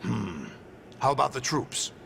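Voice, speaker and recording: Cold game villain, commanding, slow imperious phrasing, gravel in the voice, noticeable background noise. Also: male voice, mid-range voice, big pitch swings, a bit bright, full character voice. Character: cold commanding villain, adoptive father figure and former warlord. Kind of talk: dramatic video game cutscene acting.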